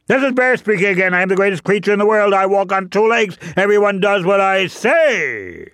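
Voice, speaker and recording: A storyteller voicing a boastful, bossy big bear in a pompous, commanding, shouting manner. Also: mid-range voice, lively intonation, brisk, no echo, pitched above the performer's own voice, close to an everyday voice.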